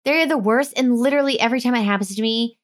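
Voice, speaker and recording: A woman's voice: fairly high pitch, fast. A woman co-hosting a podcast in casual chat, making dry teasing remarks. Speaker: podcast co-host.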